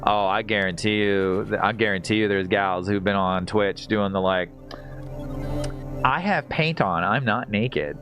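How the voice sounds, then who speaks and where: A man's voice: lowish voice, unhurried. A man live streaming a video game, chatting casually with viewers in a rambling, jokey way and reacting aloud as he plays. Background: video game music.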